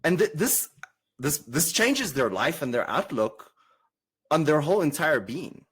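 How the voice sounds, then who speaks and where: A man with a South African accent hosting an interview, talking enthusiastically and stumbling over his words as he gets excited. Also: fairly high pitch, measured pace, breathy edge. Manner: animated, enthusiastic, stumbles over word starts. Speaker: podcast host. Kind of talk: casual interview conversation.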